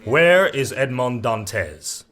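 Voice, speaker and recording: An actor playing a stern royal officer giving formal commands in an audio drama. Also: male voice, mid-range voice, expressive, drawn-out words, fairly bright tone. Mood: stern, official. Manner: formal, authoritative.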